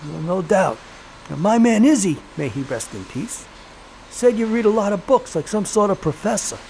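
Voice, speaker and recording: High-voiced, animated gangster boss. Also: male voice, normal speed, gravelly, slight background noise, heavily acted, poor audio. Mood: sly probing. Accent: American. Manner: streetwise slang, sly insinuation, theatrical. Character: gleeful sweet-toothed gangster crime boss, sly and menacing. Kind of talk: period gangster audio drama acting.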